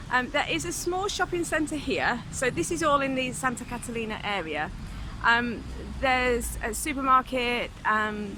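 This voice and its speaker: Travel vlogger, British woman, casual and rambling with ums, recorded outdoors on a handheld camera with street noise. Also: a little high. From United Kingdom. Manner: chatty, lots of ums, talks to camera. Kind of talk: casual walking tour vlog. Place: outdoors in a city, beach and streets.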